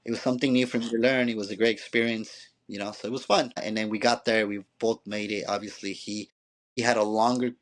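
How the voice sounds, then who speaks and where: A man with a lowish voice who talks quickly, piling up restarts and tossing in "you know" every few phrases. He tells stories from his playing days the way he would tell a friend over coffee. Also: fairly even intonation, low-quality recording. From Mexico. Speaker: former pro player, youth coach. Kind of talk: conversational storytelling.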